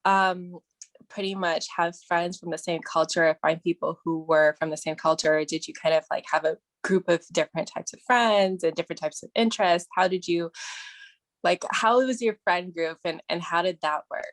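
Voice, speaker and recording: Woman host, lowish voice, dry close sound, loose friendly questions. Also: normal speed, no echo. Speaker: interviewer, host. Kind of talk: casual interview.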